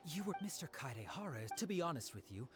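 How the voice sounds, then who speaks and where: A woman's voice: low-pitched, very animated, quick pace. A woman playing a cheerful, obliging, courteous informant in a video game, with a hearty manner. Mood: eager. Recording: music behind the voice.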